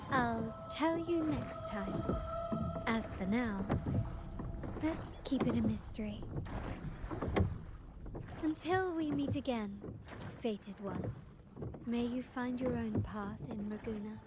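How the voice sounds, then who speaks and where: Slow, cryptic mysterious woman. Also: on the high side, heavy background noise. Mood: mysterious farewell. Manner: cryptic, poetic, measured. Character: enigmatic woman speaking in riddles of fate. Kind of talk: video game voice acting.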